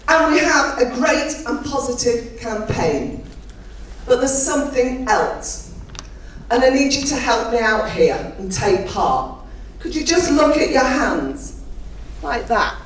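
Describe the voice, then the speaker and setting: High-voiced woman rallying a crowd. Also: distant mic, a little hiss or hum. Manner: rousing, exhortative, rallying. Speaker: politician at a campaign rally. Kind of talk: rousing rally speech. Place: large indoor hall at a rally. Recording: echoey hall, mic from afar.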